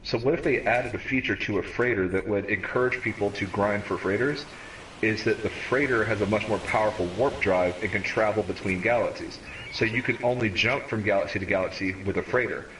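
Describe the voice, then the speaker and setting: Low-voiced man in his thirties, distant and roomy. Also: a little fast. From Cayman Islands, raised in America. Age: 30s. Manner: rambling, repeats words when thinking aloud. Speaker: stream host. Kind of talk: casual gaming chat. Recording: roomy, distant mic with faint noise.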